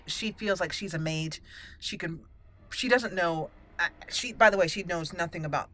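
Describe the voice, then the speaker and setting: Bright-voiced woman thinking aloud. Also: fairly low pitch. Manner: musing, deliberate, thinking aloud. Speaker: gaming channel host roleplaying a character. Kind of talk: let's play commentary with in-character dialogue.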